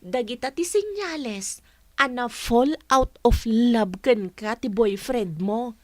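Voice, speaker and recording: A woman playing a chatty, teasing friend in an Ilocano radio drama, expressive and with a Filipino accent. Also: on the high side, slow pace, dry recording. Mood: concerned.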